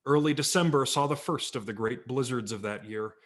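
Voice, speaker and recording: A man narrating a humorous memoir story as a dramatic reading, wry and theatrical, letting his voice drop low at the ends of phrases. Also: brisk. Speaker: audiobook narrator. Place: home, virtual reading.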